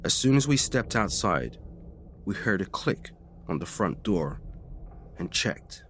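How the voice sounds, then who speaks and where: Male reader for scripted spooky narration, dramatic and lively in pitch, slight background noise. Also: takes it slowly. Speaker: story reader. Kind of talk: scripted first-person ghost story narration.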